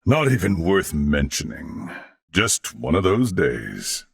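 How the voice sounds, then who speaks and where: A man voicing a courteous older alien warrior-scholar in a video game, speaking formally and eloquently with measured diction. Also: deep voice, big pitch swings, vocal fry, no room sound, studio quality, exaggerated voice. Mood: pleased. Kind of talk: video game character voice lines.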